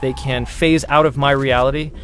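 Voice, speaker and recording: A man giving gameplay walkthrough commentary as a producer presenting a demo, in an explanatory, measured manner. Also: medium pitch, steady tone, over music. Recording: clear game sound noise behind.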